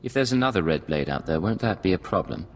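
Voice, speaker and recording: A man's voice: deep voice, expressive, fast talker. An actor playing a male imperial field agent who answers in clipped, businesslike replies. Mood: impatient. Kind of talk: video game voice acting.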